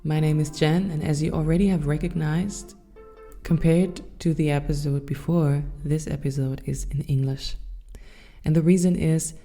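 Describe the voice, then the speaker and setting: A woman in her thirties with a German accent, hosting her own podcast and speaking softly and thoughtfully with some hesitant fillers. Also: low-pitched, fairly even intonation, normal speed, soft background music. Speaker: podcast host. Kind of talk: reflective solo podcast talk.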